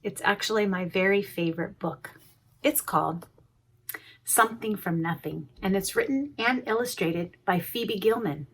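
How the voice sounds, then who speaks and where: A woman reading a children's storybook aloud, pausing to explain things simply and expressively to young listeners. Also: mid-range voice, a bit bright, slightly rough, low-quality recording. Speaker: preschool education manager reading a storybook.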